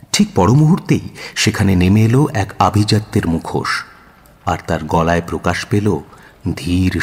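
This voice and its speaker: An actor playing a detective's companion who narrates a radio drama in a very husky voice, measured and dramatic. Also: male voice, deep voice, exaggerated voice. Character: detective's male companion, dramatic, observant storyteller. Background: music under the narration.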